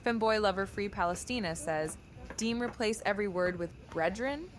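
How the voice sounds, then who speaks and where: A woman's voice: mid-range voice, normal speed, slight background noise. A woman's voice reading chat requests and stiff, formal replies, repeating the same odd word of address. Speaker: chat-command voice reading messages.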